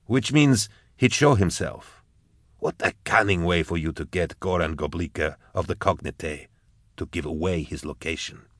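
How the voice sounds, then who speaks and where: Audiobook role: embittered grieving older man, acted by a male reader, deep voice, dry sound, accusatory and deliberate. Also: dry recording. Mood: grieving, accusing. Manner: accusing, measured, rhetorical. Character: bitter grieving old male agent, accusing his commander. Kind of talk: audiobook character acting.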